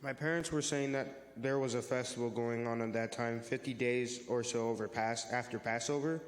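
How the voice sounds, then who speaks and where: Casting: earnest male youth for church reader's theater, played by a man, explanatory and friendly, mid-pitched, heard in a reverberant hall. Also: steady tone, normal speed, natural voice. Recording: echoey hall with long reverb.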